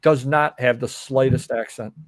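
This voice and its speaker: Middle-aged host, upstate New York accent, chatty and joking, dry close sound, mid-pitched. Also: male voice, steady tone, moderate pace, no room sound. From Cleveland, Ohio. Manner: chatty, jokey, rambling with fillers. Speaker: host of a reselling show. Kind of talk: casual live-stream chat.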